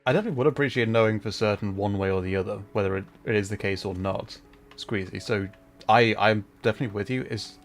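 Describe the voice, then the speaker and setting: Low-voiced man chatting on a livestream. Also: fast talker. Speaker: livestream host, gamer. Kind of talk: casual livestream chat while playing a video game. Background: music.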